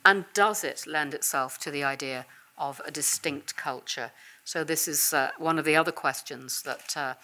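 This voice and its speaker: A low-pitched woman with an English accent, speaking in a university seminar room. She lectures in a relaxed, conversational way, often pausing with "uh" and "you know". Her answers are thoughtful and unhurried. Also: slight background noise. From England. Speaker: visiting professor and guest speaker. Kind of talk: academic seminar talk and answering questions.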